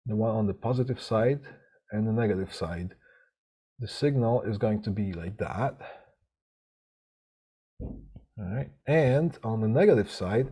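A middle-aged man teaching a live online class. He talks haltingly with many restarts and keeps checking in with "right?", sometimes drifting away from his mic. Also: lowish voice, warm tone, breathy edge. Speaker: instructor. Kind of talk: live online lecture on audio systems.

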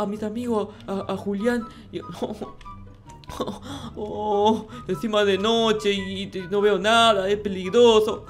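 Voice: medium pitch, a bit bright, natural voice. A performer acts out a naive little boy in a video game roleplay, timid and whiny. He stammers and repeats himself in childish worry. Music plays behind the voice. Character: naive, easily frightened childlike noob boy in Minecraft roleplay. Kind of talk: improvised in-character gaming roleplay.

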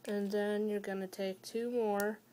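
A young woman hosting a casual building tutorial from a small dim room at home, talking hesitantly with many fillers and pauses, her voice often trailing off quietly. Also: medium pitch, level tone, a little hiss or hum. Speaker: toy-building tutorial host. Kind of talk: casual how-to narration.

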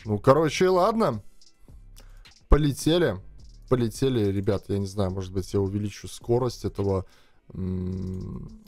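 A man's voice: on the low side, darkish tone, dry recording. A young man streaming a mobile game, chatting casually and thinking aloud to his viewers from a headset in a small room. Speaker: gaming streamer. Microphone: headset, webcam framing. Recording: dry close sound, faint music behind.

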